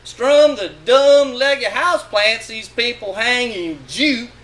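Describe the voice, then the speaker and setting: A man's voice: extremely high pitch, slow pace, a crowd in the background. A man giving a dramatic, sardonic and emphatic reading of a literary monologue. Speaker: reader of a short story.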